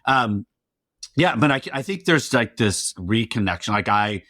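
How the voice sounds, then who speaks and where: Casual middle-aged man, lowish voice, dry sound. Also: lively intonation, measured pace, sharp tone, no room sound. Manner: informal, playful. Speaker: podcast host. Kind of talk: casual, conversational interview chat.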